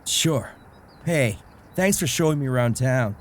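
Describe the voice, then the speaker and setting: A man plays a middle-aged father in an amateur comic dub, easygoing and modest about himself. His voice sits at an average pitch and he talks casually and thoughtfully. There is noticeable noise behind him. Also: expressive, a little slow, lightly acted. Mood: relaxed. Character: easygoing, self-deprecating middle-aged father, powerless author.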